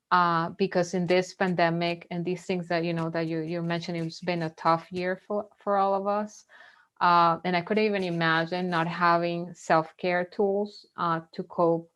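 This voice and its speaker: A woman with a Venezuelan accent, a native Spanish speaker, talks about mindfulness as a webinar guest. Her voice sits fairly low and stays quite flat in pitch. She sounds earnest and often restarts her sentences. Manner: earnest, halting, with many restarts. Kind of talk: live webinar talk.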